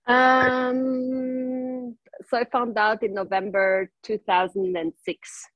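A woman's voice: fairly high pitch, little pitch movement, takes it slowly, fairly bright tone, no room sound, rough recording. A woman from northern Italy, a native German speaker with a German accent, talking casually as a guest with many ums and hesitations. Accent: German-speaking northern Italian. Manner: hesitant, many ums, laughs between lines. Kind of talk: casual interview.

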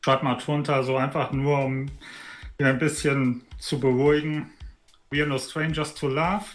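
Playful player, jokey, throws in english bits, mid pitch. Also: flat delivery, normal speed. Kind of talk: casual tabletop roleplay chat.

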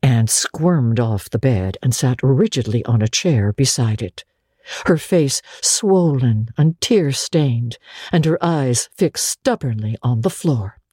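A woman narrating classic literature as a dramatic audiobook, with husky, expressive and measured storytelling. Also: very deep voice, no room sound, clean studio sound.

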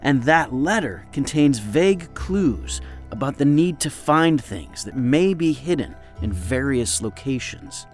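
A man's voice: medium pitch, animated, unhurried, soft background music. A man hosting a podcast, reading a scripted recommendation in a conversational way that addresses the listener directly. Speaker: podcast host.

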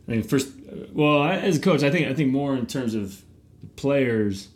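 A man's voice: mid-range voice, expressive, normal speed. A middle-aged man, host of a podcast and youth baseball coach, talking casually and animatedly, often repeating words as he starts a thought.

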